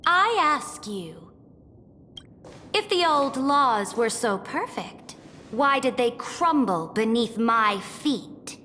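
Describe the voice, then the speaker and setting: An actress playing a proud, cold young empress in a video game, speaking with haughty, deliberate command. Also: female voice, on the high side, sing-song, bright, forceful tone, a little hiss or hum, full character voice. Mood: stern, menacing. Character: imperious young female empress, cold, proud, ruthless conqueror. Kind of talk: dramatic video game voice acting. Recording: long hall reverb, faint music behind.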